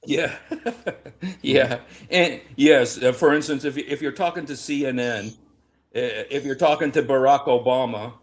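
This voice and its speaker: A man talks in a casual online panel with an everyday mid-range pitch. He is emphatic and excitable, tripping over his words and repeating phrases as he pushes his point. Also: a little slow. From United States. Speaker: panel guest.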